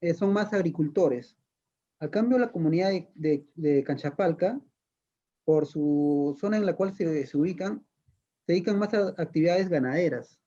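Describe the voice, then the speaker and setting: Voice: mid-range voice, moderate pace, dark tone, lo-fi sound. An interviewer asking a long, conversational question, hesitating and repeating words.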